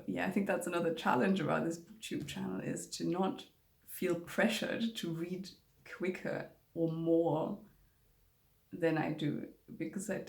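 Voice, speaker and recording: A woman's voice: fairly low pitch, vocal fry, darkish tone. A young woman whose first language is German, chatting casually to camera about books, rambling with many fillers and restarts. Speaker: book vlogger. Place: home room with bookshelves. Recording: echoey room.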